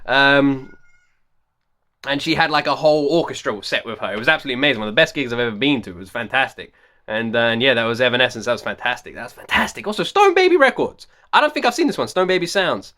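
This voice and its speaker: A man hosting a music reaction vlog, talking straight to his viewers, repeating himself and breaking into loud disbelieving shouts, his voice going hoarse. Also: medium pitch, big pitch swings, fast talker. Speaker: music reaction video host.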